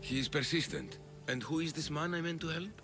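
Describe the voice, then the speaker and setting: An actor playing a defensive, stubborn Athenian man in debt, gruff and hesitant, in a video game cutscene. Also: on the high side, a little fast, lightly acted. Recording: studio voice with game music.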